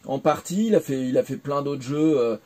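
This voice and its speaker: A man's voice: medium pitch, normal speed, dark tone. A French man in his forties, recounting his career in a casual interview, hesitant with many fillers and restarts. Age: 40s. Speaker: interviewee, former game developer.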